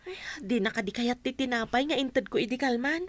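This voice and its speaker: A woman acts out a sympathetic female friend in a radio drama. Her voice sits high. She speaks expressively and conversationally, full of care. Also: lively intonation, fairly quick. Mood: urging. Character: caring, sympathetic woman friend of a struggling mother.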